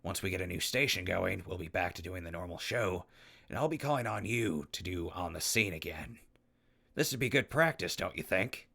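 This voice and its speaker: Man playing a low, gravelly wasteland radio host. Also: animated, normal speed, sharp tone, exaggerated voice. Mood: casual, persuasive. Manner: casual, wry, digressive. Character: male wasteland radio host, chatty, wry and easygoing. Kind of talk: radio drama acting with mock sponsor reads.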